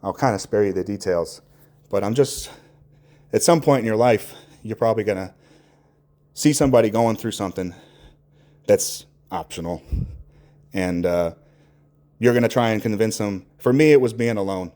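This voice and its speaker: A man talking candidly and off the cuff in a reflective, earnest monologue, halting as he searches for words and swearing casually. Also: fairly low pitch, dark tone. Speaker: podcast host.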